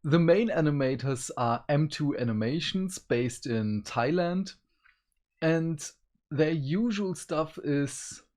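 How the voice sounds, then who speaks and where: A man's voice: on the high side, lively intonation, takes it slowly, a little mellow. A man co-hosting a review show in casual chat, speaking hesitantly as he searches for words. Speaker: co-host of an animation review show.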